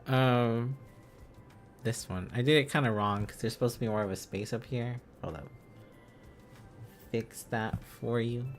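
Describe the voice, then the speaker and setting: A man's voice: medium pitch, measured pace, long pauses, soft background music. A man hosting a casual livestream, thinking aloud as he studies, in a rambling, silly, exclaiming manner. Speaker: livestream host.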